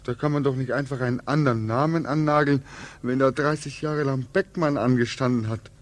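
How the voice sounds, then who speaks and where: An actor playing a homesick, brooding young man back from war in a 1940s radio drama, with halting, repetitive, anguished speech. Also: mid-range voice, steady tone, natural voice. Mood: agitated dismay. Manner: halting, repetitive, swinging into agitated questioning. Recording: 1940s radio recording, music behind.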